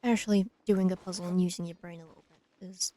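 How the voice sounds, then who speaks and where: A woman's voice: fairly low pitch, steady tone, fast talker, mellow, slight background noise. A woman giving casual, wry gameplay commentary as she plays a video game. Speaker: let's play streamer. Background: video game music.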